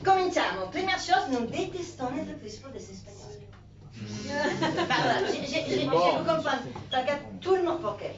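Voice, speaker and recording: High-voiced Italian woman doing stand-up. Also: normal speed. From Italy. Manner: animated, teasing the crowd, mixing in Italian words. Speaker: stand-up comedian. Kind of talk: stand-up comedy set. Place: comedy club. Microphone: handheld stage mic. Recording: roomy, distant, dull club recording with audience.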